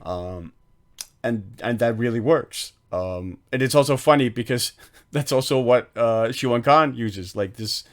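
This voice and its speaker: Low-voiced man chatting about films. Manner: rambling, many fillers and restarts. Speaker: film podcast co-host. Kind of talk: casual film discussion.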